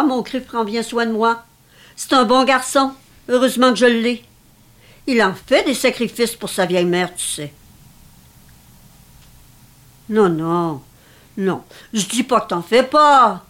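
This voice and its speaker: An actress playing an elderly, possessive mother, theatrical and self-pitying, in a dramatic script reading. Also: fairly high pitch. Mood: tender, plaintive. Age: older. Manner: theatrical, reproachful, martyr-like.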